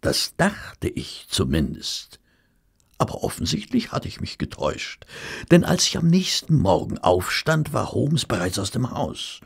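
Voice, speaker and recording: An actor playing a genteel, easily flustered detective's companion in a German audio drama, polite and husky. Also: male voice, medium pitch, wildly swooping pitch, measured pace, exaggerated voice. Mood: reflective. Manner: polite, hesitant, earnest.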